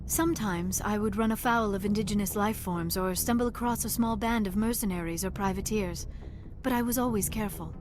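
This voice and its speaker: A woman's voice: mid-range voice, brisk, noisy background, close to an everyday voice. An actress playing a young alien archaeologist in a video game, earnest and formal, flustered when she feels embarrassed, with music playing behind her. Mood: reflective. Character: young alien woman archaeologist, shy and awkward.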